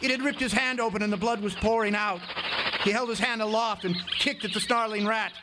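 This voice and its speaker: A man's voice: high voice, steady tone, brisk, lightly acted. A man playing a haunted lighthouse keeper who tells his story in a radio drama, dramatic and stammering over words. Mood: haunted, urgent. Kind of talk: radio drama first-person narration. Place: outdoor amphitheater stage. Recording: noisy outdoor live stage recording, birdsong.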